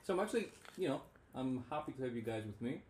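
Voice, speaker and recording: A man's voice: medium pitch, expressive, fast talker, a little mellow. A man hosting a casual podcast chat, rambling and frank, often restarting his sentences. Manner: rambling, self-interrupting, frank. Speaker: podcast host. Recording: roomy with faint background noise.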